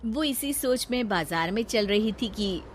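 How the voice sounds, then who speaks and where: Higher-pitched woman narrating a story. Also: expressive, a little slow, slight background noise.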